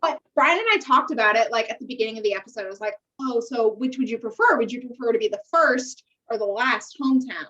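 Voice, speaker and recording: High-pitched American woman, slightly muffled recording. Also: quick pace, hardly any pauses, pushed and bright. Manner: emphatic, uses swearing and slang. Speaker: podcast co-host. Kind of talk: casual chat about a TV dating show.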